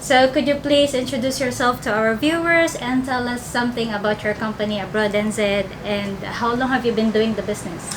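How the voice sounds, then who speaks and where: A woman's voice: a little high, steady tone, brisk, few pauses, background noise. Chatty young woman hosting an interview. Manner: chatty and upbeat, with filler "like". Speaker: YouTube channel host. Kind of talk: friendly interview. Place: small office room, seated at a table.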